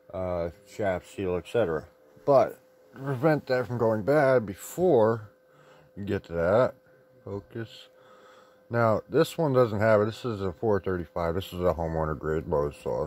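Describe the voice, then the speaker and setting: Man, low voice, casual how-to talk, rambling, plain-spoken, a bit salty. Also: a little mellow. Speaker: chainsaw repair tip presenter. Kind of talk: casual hands-on tutorial.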